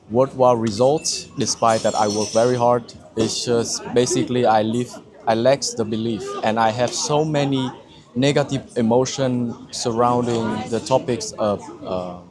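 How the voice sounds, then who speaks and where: Halting young man. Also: medium pitch. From Vietnam. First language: Vietnamese. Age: 20s. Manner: halting, with frequent restarts and searching for words. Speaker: client giving a testimonial. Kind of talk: candid personal testimony.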